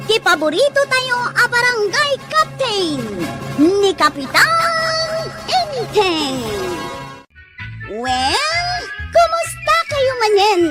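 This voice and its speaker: An announcer with a Filipino accent presenting a radio drama in an animated, scripted style over music. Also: very high voice, slow. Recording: loud music under the voice. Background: loud music.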